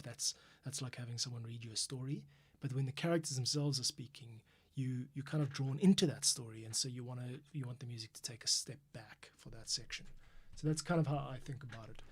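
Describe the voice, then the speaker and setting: A man's voice: medium pitch, brisk, husky. A man thinking aloud in a casual, explanatory way as he narrates a behind-the-scenes audio editing screencast. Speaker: composer and podcast editor. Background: music playback from the editing session.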